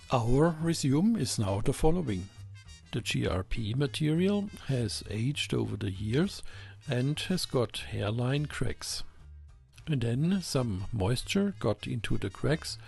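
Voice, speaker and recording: A man's voice: medium pitch, vocal fry, a little mellow, slightly rough. A man giving a methodical, scripted technical explanation as the host of an electronics channel.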